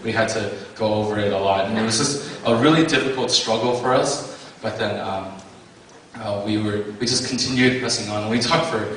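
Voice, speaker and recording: A young man giving a testimony to an audience, speaking haltingly with many fillers. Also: on the low side, a bit bright, a little hiss or hum. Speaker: conference attendee giving testimony. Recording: very echoey hall, distant mic.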